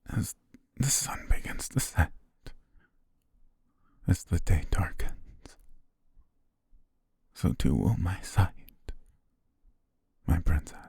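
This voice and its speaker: A man voicing a dying young butler, loyal and tender, who speaks in hushed, halting words broken by weak breathy laughs as his strength fades, recorded on a close mic for an ASMR roleplay. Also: sits low, expressive, dry recording, full character voice. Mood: tender farewell. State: fading, short of strength. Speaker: voice actor.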